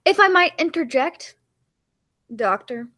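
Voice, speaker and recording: A woman's voice: sits high, animated, slow, pushed and bright, heavily acted. A woman playing a solemn, self-important scientist's assistant, stiff and dramatic, in a radio play. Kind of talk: melodramatic radio play acting.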